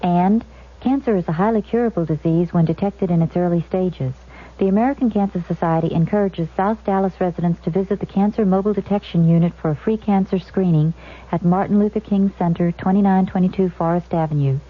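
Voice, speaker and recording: A woman's voice: fairly low pitch, a little fast. A woman announcer reading scripted community notices on an old local radio broadcast. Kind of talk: scripted community calendar read. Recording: tinny, narrow-band old radio broadcast.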